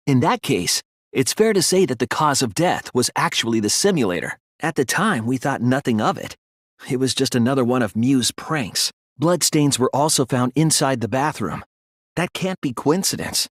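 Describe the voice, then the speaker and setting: An earnest teenage boy detective from a video game. The voice is soft-edged and breathy at a medium pitch, recorded very dry. It moves expressively up and down as he lays out deductions in a deliberate, analytical way. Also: no room sound. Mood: insistent, troubled. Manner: deliberate, earnest, analytical explaining with dramatic emphasis. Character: earnest, soft-spoken teenage boy detective reasoning through murder cases. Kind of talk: English video game voice acting, deductive monologue.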